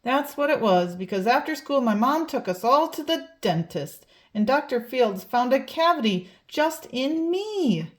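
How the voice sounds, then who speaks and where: A woman's voice: high-pitched, sing-song, a little slow, hardly any pauses. A woman reading a children's picture book aloud expressively, drawing out key words for emphasis. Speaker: storybook reader.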